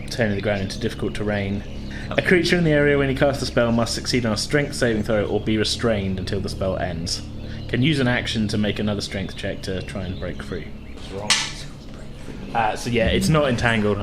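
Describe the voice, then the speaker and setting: A man's voice: on the low side, noisy background. A man casually narrating a tabletop game as game master, informal and sweary. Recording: music playing behind the voice. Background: loud music.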